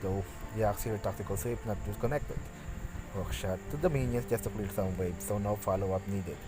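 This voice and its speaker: A man's voice: on the low side, poor audio. Guy doing practice esports commentary, lots of stops and restarts, gets hyped on big plays, faint game music behind. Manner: halting, frequent restarts, bursts of excitement on big plays. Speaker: esports caster.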